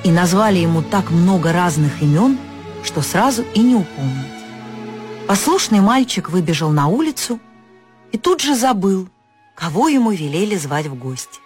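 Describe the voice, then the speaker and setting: A woman narrating a folk fairy tale for an audio story, expressive and lifting her pitch on key words. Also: normal speed, faint music behind.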